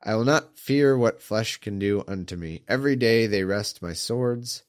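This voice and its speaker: A man with a slightly low, congested voice reads archaic scripture aloud. He keeps undercutting it with dry, irreverent asides and chuckles. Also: moderate pace. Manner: irreverent, mocking, chuckles at the text.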